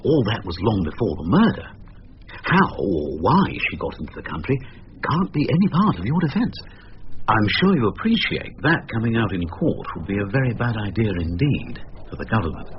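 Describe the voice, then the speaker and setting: A man's voice: fairly low pitch, wildly swooping pitch, moderate pace, lo-fi sound, a little hiss or hum. A man playing an urbane English government minister in a radio drama, falsely breezy while insinuating veiled threats.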